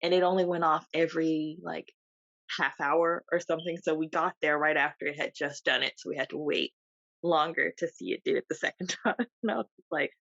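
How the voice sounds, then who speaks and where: A woman with a slightly low voice tells stories on a casual podcast and breaks into laughter often. The sound is dry and close, with a dull, lo-fi tone. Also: gravelly, a bit bright, no echo. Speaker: podcast co-host. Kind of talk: casual chat.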